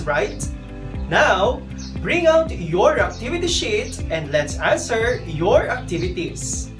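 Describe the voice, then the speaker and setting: A woman teacher giving scripted, instructional narration for a video lesson. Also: medium pitch. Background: music.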